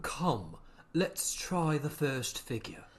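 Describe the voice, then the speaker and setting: Someone voicing a slow, swooping, melancholy storybook turtle. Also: medium pitch, big pitch swings. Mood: solemn, earnest. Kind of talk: theatrical storybook reading with slow sung verse.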